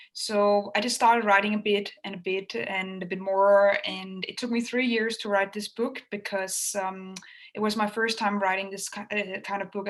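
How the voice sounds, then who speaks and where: A woman from Denmark, a native Danish speaker, answering interview questions in a soft, casual way with frequent ums. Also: mid-range voice. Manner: soft-spoken, frequent ums, laughs lightly. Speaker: guest author.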